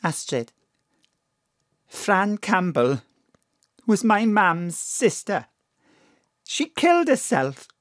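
Slow, swooping Welsh mam. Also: female voice, medium pitch, big pitch swings, dry recording, clean studio sound. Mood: grave, tender. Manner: emphatic, motherly, colloquial. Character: Astrid's doting, plain-spoken Welsh mother.

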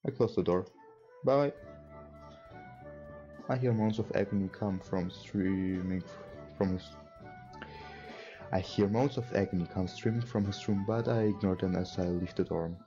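A young man who is not a native English speaker plays a game and reads its lines aloud casually. His voice is low and very mellow, and he stumbles a little as he reads. The recording is a dull, lo-fi one. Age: young adult. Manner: halting, stumbles while reading. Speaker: let's player. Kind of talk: casual let's play, reading game dialogue aloud. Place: indoor room at a computer. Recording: dull lo-fi sound. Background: game music.

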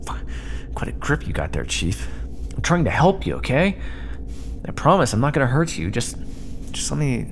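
A man playing a gravelly sarcastic starship commander. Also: mid-range voice, wildly swooping pitch, normal speed, long pauses, a little hiss or hum. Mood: soothing. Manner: sarcastic, swears often, gentle when soothing. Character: gruff sarcastic male starship commander, stranded. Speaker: voice actor in an audio roleplay. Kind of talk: ASMR roleplay acting. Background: music.

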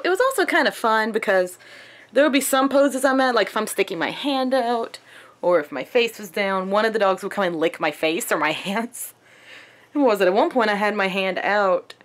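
A young adult woman chatting casually to a handheld camera at arm's length in a small room at home, in a conversational, animated manner. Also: mid-range voice, moderate pace. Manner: animated, conversational, acts out quotes. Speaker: vlogger.

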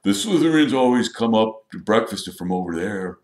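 A blunt, excitable wizard schoolboy read by a man, casual and lively in intonation. The storybook character is heard in an echoey room. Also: medium pitch, moderate pace, pitched above the performer's own voice, natural voice. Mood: blunt, eager.

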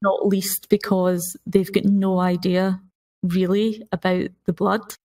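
A woman's voice: mid-range voice, level tone, slow pace, dark tone, far from the mic. Female podcast panellist, mid-range voice, casual chat, sitting back from the mic.

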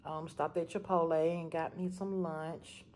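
A woman chatting casually to her viewers in a vlog, animated and talking straight to the camera from a parked car on her phone. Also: low voice, unhurried. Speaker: vlogger.

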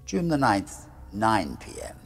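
A middle-aged man reading a gothic horror tale as a diary, in a grave, deliberate manner that sinks to a hush at the ends of phrases. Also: lowish voice, sing-song. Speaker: storyteller reading a classic ghost story aloud. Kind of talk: dramatic gothic horror narration, read as a diary. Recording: music under the voice. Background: music.

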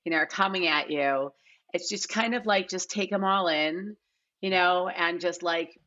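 A middle-aged woman with a notably low voice speaks casually in an interview. She circles back and repeats phrases for emphasis. The sound is a little dull and lo-fi, like a video call. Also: moderate pace, bright, forceful tone. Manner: informal, repeats herself for emphasis. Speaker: casting director, podcast guest. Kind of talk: casual podcast interview talk. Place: large living room at home.

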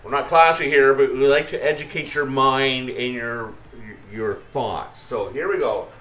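A man's voice: medium pitch, unhurried, a bit bright, reverberant. A middle-aged man hosting a casual group game raid on stream, chatting playfully with friends and laughing easily. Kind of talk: casual gaming chat with friends. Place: home streaming room.

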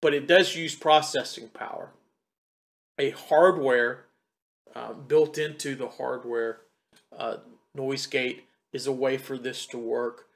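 Middle-aged man, halting tutorial delivery. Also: medium pitch, fairly even intonation, a bit bright. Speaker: tech tutorial presenter. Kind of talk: casual step-by-step gear tutorial. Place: home computer desk in a wood-panelled room. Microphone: desktop condenser mic about two feet away.

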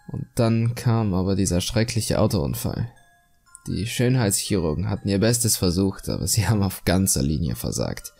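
Man playing a murderous aesthete, low gravelly voice, dark tone, measured, confiding, coolly self-righteous, horror story monologue. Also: heavily acted. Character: self-satisfied murderous male sculptor obsessed with beauty, calmly cruel. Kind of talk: German creepypasta horror monologue, dramatic reading.

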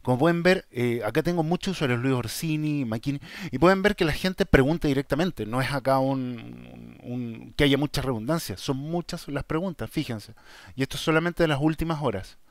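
A man with a Chilean accent hosting a casual vlog, talking to viewers in a direct, emphatic and somewhat halting way. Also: medium pitch, no echo, clean studio sound. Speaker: YouTube channel host. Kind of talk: casual vlog talk, answering viewer questions.

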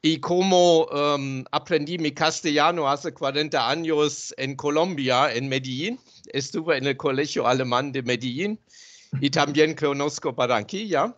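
German-accented man, hesitant, slow delivery, muffled video-call audio. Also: on the high side, fairly bright tone. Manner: halting, many ums. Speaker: guest speaker.

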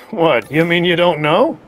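A woman's voice: fairly low pitch, expressive, unhurried, soft background music. An actress playing a wry, wary female park manager in a video game, talking in a sardonic, conversational way.

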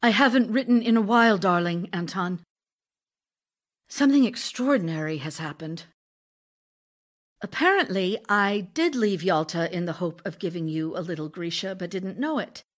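A woman's voice: fairly low pitch, animated, moderate pace. A woman playing an ailing, lonely actress wife in an audio drama of love letters, speaking in a theatrical, confiding way. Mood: grieving.

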